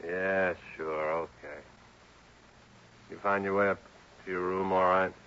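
A man's voice: deep voice, steady tone, a little slow, pushed and bright, a little hiss or hum, heavily acted. A man playing an anxious, hard-drinking young husband in a mid-century radio drama, hesitant and stammering. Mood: tipsy, evasive. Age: young adult. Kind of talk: 1950s-60s radio drama acting. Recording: dull old radio recording.

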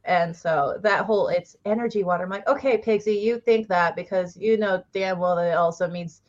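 A woman's voice: fairly low pitch, fairly quick, muffled recording. A woman co-hosting a casual book club chat, rambling through thoughts with many fillers and frequent laughter.

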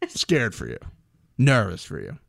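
A man's voice: lowish voice, wildly swooping pitch, measured pace, vocal fry, a bit bright, no echo, clean studio sound. A man co-hosting a casual podcast, joking and swearing freely. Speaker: podcast co-host. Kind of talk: casual chat.

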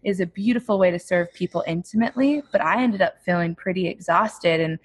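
Chatty lower-voiced woman entrepreneur. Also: fairly quick, slightly rough. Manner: chatty, many "like" fillers, self-reflective. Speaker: entrepreneur guest. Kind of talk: casual interview talk.

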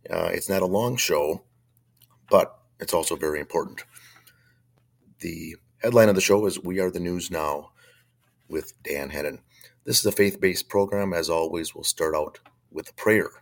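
A man hosts a faith-based talk radio show with a very low voice that a chest cold has made hoarse. He talks bluntly and conversationally and gives his opinions freely. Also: normal speed.

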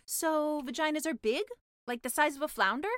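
Woman playing a high-pitched naive young mermaid. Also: normal speed, fairly bright tone, no room sound, studio quality. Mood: uneasy. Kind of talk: storybook character voice.

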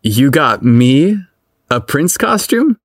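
A man's voice: medium pitch, big pitch swings, takes it slowly, dry recording, studio quality, exaggerated voice. A man voicing an awkward, flirtatious man on a date in an audio story, hesitant and teasing. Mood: playfully teasing.

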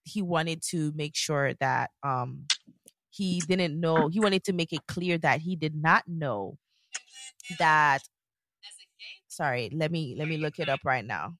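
A woman's voice: low voice, slow pace, fairly bright tone, no echo. A woman co-hosting a casual podcast, chatty and rambling, restarting her sentences often. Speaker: podcast co-host. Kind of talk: casual chat.

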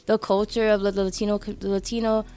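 Young woman from New York, rapid casual talk, smooth clear voice, lots of fillers. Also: mid-range voice, flat delivery, dark tone, soft background music. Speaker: photographer guest. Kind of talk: casual interview chat.

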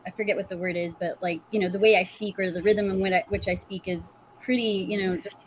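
A woman's voice: mid-range voice, fairly even intonation, fast talker, slight background noise, rough recording. A woman with an American accent chatting casually on a podcast, often searching for her words. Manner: hesitant, searching for words, giggly. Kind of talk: casual panel chat.

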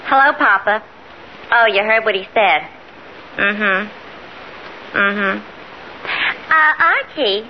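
A woman's voice: mid-range voice, lively intonation, slow pace, pauses often. An actress playing a brassy, wisecracking young woman, the boss's daughter, in a 1950s radio comedy. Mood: brisk, gleeful. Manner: wisecracking, sarcastic. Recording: noisy, hissy, muffled old radio recording.

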